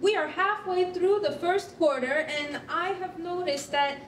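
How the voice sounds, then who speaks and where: A woman plays an upbeat, patient school counselor with a very high voice. It is a staged reading, picked up from a distance in a roomy hall with faint noise. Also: unhurried. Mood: concerned. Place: concrete rehearsal hall, live staged reading. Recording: roomy, distant mic, noisy rumble.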